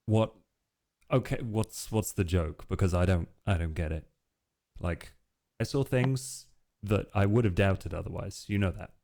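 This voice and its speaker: A man's voice: low voice, measured pace, gravelly, mellow, pitched below the performer's own voice. A man playing an earnest, casual young vampire with a dry, hesitant way of talking in a tabletop roleplay game. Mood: earnest, puzzled.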